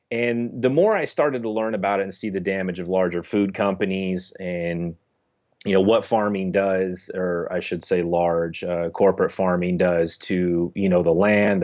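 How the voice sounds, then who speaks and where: A young man from Northern California, answering interview questions in a halting way, full of fillers and restarts. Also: low voice, a little mellow. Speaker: interview guest. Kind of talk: casual interview conversation.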